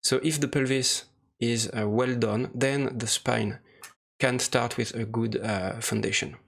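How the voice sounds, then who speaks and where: Gravelly-voiced man giving a halting tutorial. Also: mid-range voice, steady tone, fairly bright tone. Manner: hesitant, halting delivery in short phrases. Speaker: software tutorial presenter. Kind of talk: unscripted screen-recorded tutorial walkthrough. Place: voice-over for a screen recording.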